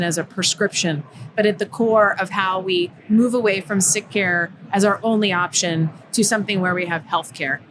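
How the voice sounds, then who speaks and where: A woman's voice: mid-range voice, low-quality recording. A woman hosting a live podcast, enthusiastic and talkative as she introduces her guest. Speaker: podcast host.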